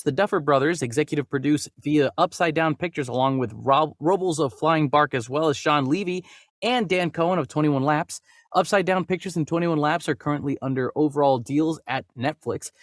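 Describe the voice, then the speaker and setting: A man's voice: a little high, no echo, studio quality. A man co-hosting a movie news podcast, talking casually and conversationally, sometimes reading news items aloud. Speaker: podcast co-host and voice actor.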